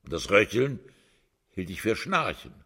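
An actor playing an accused prisoner in a radio drama, pleading his innocence emotionally with a husky voice. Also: sing-song, a little slow, a bit bright, exaggerated voice. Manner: pleading, emotional, swinging pitch.

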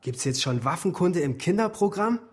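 A man's voice: high-pitched, lively intonation, normal speed, breathy edge. An actor playing a chummy, teasing adult man in a radio drama, casual and joking in short phrases over a noisy ambient background. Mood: friendly, teasing.

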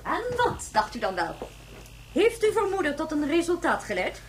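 A woman's voice: high voice, very animated, normal speed, heavy background noise. An actress playing an imperious, demanding, sceptical female president in a radio drama.

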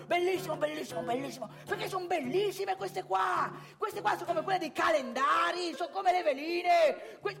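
A woman playing an excitable, crude, boastful provincial man in a live comic theatre monologue, repetitive and chatty. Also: very high-pitched, gravelly. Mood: raving excitement. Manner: repetitive, emphatic, chatty, addressing listeners directly. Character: excitable, crude, boastful male strip-club regular from provincial northeast Italy.

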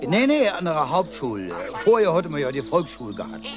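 A man, playing a relaxed retired deputy school head in a radio play. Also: a little high, a little fast, music in the background. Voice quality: broad dialect, homely, relaxed. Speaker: radio drama character.

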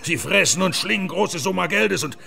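Very gravelly, stern interrogator. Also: male voice, high-pitched, expressive, moderate pace, bright, forceful tone, faint music behind, exaggerated voice. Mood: scornful. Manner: insistent, pointed questioning. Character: stern, probing male investigator in historical drama. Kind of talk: German radio play acting.

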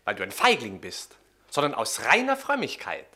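An actor in a radio play voicing an arrogant young Roman gentleman, a mocking bully who is a coward at heart. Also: mid-range voice, huge pitch swings, normal speed, exaggerated voice. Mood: sneering, amused. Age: young adult. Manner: sneering, turning whiny and pleading when threatened.

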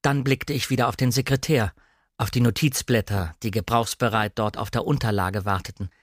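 A man's voice: mid-range voice, steady tone, brisk, no room sound, clean studio sound. A man narrating a novel as a scripted literary audiobook reading.

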